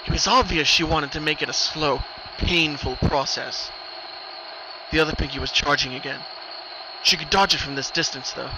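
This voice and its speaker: A man reading a scripted horror story as a dramatic narrator. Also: normal speed. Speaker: narrator of horror fan fiction. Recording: noisy recording, rumbling ambient background.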